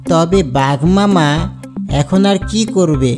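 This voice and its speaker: A man voicing a sly young fox in a cartoon, wheedling and flattering his uncle. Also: fairly high pitch, slow, music in the background. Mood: pleading. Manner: wheedling, persuasive. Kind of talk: cartoon character dialogue.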